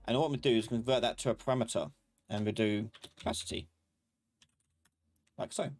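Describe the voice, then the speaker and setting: A man giving a live software tutorial as an instructor, informally thinking aloud and talking to himself as he works. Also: mid-range voice, a little fast. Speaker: instructor of a live online class. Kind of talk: live software tutorial, explaining while working.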